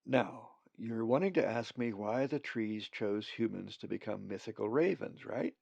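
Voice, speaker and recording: A man voicing a wise female great raven who leads the flock, calm and reassuring. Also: on the low side, unhurried, vocal fry, breathy edge, no room sound, dull audio, exaggerated voice. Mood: kindly.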